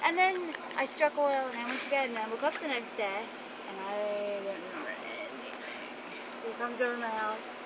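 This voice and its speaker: A woman's voice: a little high, expressive, fairly quick, over a noisy crowd, low-quality recording. A drunk woman telling a rambling story to friends outdoors, her words loose and trailing off into half-sung lines. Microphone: phone camera held by a companion.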